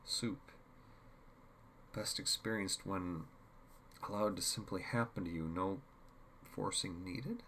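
A man voicing a calm, commanding male mentor in a story, measured and instructive, teasing and cryptic. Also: low voice, slight background noise, exaggerated voice.

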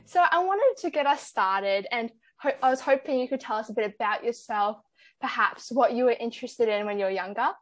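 A woman with a fairly high voice hosts a podcast with upbeat enthusiasm. She introduces her guest and leads into each question. The recording is dry and close to the mic. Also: brisk, no room sound. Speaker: podcast host. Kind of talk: scripted-feeling podcast hosting.

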